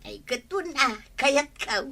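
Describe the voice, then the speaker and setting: An actress playing a plain-spoken, argumentative village wife in an Ilocano radio comedy drama, with an Ilocano accent. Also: female voice, high voice, expressive, slow, vocal fry, bright, forceful tone, a little hiss or hum, exaggerated voice. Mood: hesitant, coy.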